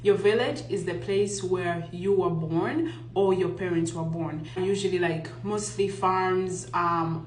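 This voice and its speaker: A young woman from Uganda talking casually to camera, explaining and reflecting with frequent pauses. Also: lowish voice, echoey room, slight background noise, low-quality recording. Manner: reflective, explanatory, pausing often. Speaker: content creator. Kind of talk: casual talk to camera on culture. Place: outdoors.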